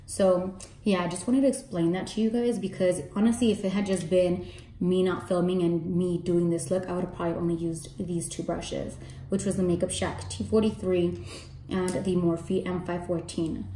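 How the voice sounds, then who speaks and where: A young woman with a mellow, slightly raspy voice that sits deep for a woman. She chats casually to her viewers in slang, with candid asides, while she does her makeup at home. The room adds a clear echo to her voice. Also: little pitch movement, moderate pace, a little hiss or hum. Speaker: makeup artist and beauty vlogger. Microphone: camera in front, face framed close.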